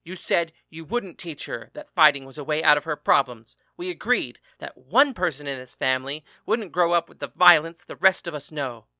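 A hot-tempered husband and father, as a man puts him on, hurling loud, accusing questions in a bright, forceful voice. The pitch climbs as he rages, and the reading sits close and dry with no room around it. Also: moderate pace, smooth, dry recording. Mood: furious. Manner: shouting, accusing.

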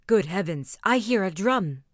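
Woman acting out a cowardly, pompous storybook man, loud and frantic. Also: mid-range voice, animated, unhurried, dry recording, studio quality. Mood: alarmed. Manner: exclaiming in loud, frantic outbursts. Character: cowardly pompous man, panicky leader of bumbling comrades. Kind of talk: storybook character voice.

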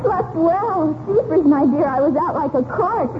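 A tinny, hissy old radio recording of a giddy young lodger. Her voice is very high, and she gushes breezy slang in 1940s comedy style. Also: animated, moderate pace. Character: flighty, gushing, slangy young female lodger in love.

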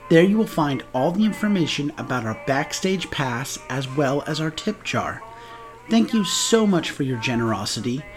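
A man's voice: fairly high pitch, big pitch swings, moderate pace. A man reading a scripted podcast outro as the show's host. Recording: music bed behind voice. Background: music.

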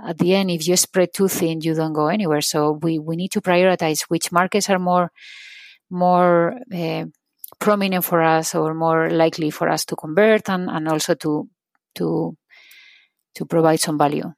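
A woman's voice: deep voice, fairly even intonation, normal speed, vocal fry, no echo. A middle-aged woman from Spain with a Spanish accent, a company CEO answering interview questions earnestly and restarting phrases often.

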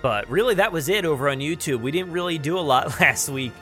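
A man hosting a podcast, chatting casually through news in a halting, think-aloud way. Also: a little high, lively intonation, a bit bright, soft background music. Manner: halting, thinking aloud while describing what he sees. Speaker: podcast host. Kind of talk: casual unscripted news chat.

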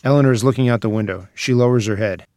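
A man's voice: medium pitch, quick pace, darkish tone, no echo, clean studio sound. A man reading out screenplay scene headings and stage directions as a scripted narrator.

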